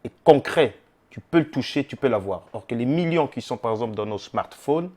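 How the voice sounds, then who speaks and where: A man's voice: medium pitch, expressive, brisk, darkish tone. A young man from the Democratic Republic of the Congo hosting his own channel, talking casually to his viewers with frequent fillers and rephrasings. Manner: conversational, with many fillers and rephrasings. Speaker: YouTube channel host. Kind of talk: casual monologue to camera.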